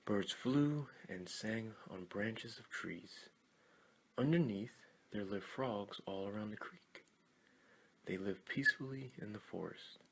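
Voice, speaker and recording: A man narrating a scripted children's story in a deliberate way, with dramatic pauses. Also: on the low side, gravelly, slight background noise, poor audio.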